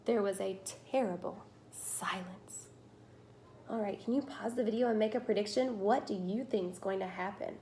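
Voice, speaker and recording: A woman teacher reading a picture book aloud to children, with expressive, emphatic storytelling and drawn-out phrases. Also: medium pitch, background noise.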